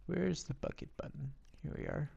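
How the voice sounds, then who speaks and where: A man with a raspy voice giving casual live gameplay commentary, rambling and thinking aloud in a self-deprecating, playful way. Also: a little high, steady tone, normal speed, dark tone, muffled recording. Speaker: game streamer.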